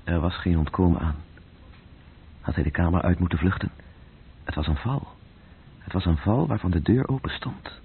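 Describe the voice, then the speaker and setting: An actor playing a sombre, detached storyteller, narrating a radio play in a very husky literary voice. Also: male voice, deep voice, expressive, moderate pace, pauses often, a little hiss or hum. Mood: tense, restrained. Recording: muffled, phone-like old radio recording.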